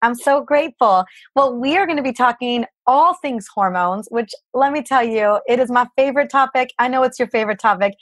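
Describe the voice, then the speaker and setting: Hoarse, gushing woman podcast host. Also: a little high, brisk, few pauses. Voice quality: raspy. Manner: effusive, gushing praise. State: hoarse from a book tour. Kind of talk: enthusiastic podcast interview.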